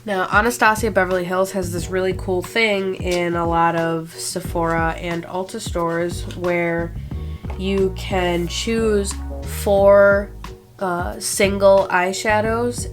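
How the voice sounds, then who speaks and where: A woman's voice: lowish voice, fairly even intonation, takes it slowly. A woman in her twenties with a stuffed-up cold voice, chatting casually and self-deprecatingly while doing her makeup. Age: 20s. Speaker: beauty vlogger. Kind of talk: casual makeup tutorial talk. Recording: music bed under the voice.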